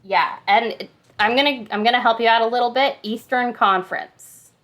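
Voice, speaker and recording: A woman's voice: fairly high pitch, normal speed, fairly bright tone. A woman hosting a hockey trivia quiz on a podcast in casual, conversational talk.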